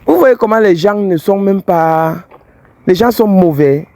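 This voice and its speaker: A man with an English-speaking Cameroonian accent hosts a street confrontation vlog. His voice sits high for a man, and his manner is blunt and teasing. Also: lively intonation, unhurried, darkish tone, no room sound. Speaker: fidelity tester vlogger host.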